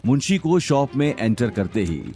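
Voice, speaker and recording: A man's voice: medium pitch, sing-song, normal speed, dark tone, soft background music. A man narrating a scripted audio drama in a dramatic storytelling style.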